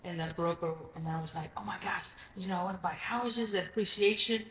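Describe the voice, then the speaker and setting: A woman from Greece, Greek her first language, telling her story as an interview guest with lively acted-out dialogue. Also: medium pitch, fast, background noise. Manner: animated storyteller, acts out quoted dialogue, frequent "I'm like". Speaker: guest, real estate investor. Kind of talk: casual interview chat. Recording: echoey room with faint ambience.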